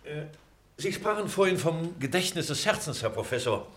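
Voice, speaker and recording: A man's voice: on the high side, sing-song, moderate pace, a bit bright, a little hiss or hum. An actor playing a middle-aged man at a class reunion, wordy, pedantic and wryly formal in an acted drama. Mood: mock-formal. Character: pedantic, wry middle-aged former pupil debating a poem. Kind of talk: acted radio-play dialogue.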